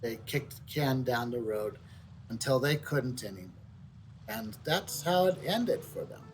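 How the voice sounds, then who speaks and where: A middle-aged man giving casual, unscripted video game commentary, halting and reflective, repeating words as he thinks. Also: mid-range voice, lively intonation, slight background noise, poor audio. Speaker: game streamer. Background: soft video game music.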